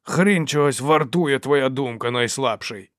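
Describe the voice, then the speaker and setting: A man's voice: medium pitch, unhurried, fairly bright tone, no room sound, clean studio sound, voice pushed up, natural voice. Man voicing a belligerent, snarling warrior. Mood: snarling rage. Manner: barking, snarling. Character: belligerent, foul-mouthed male warrior, quick to rage.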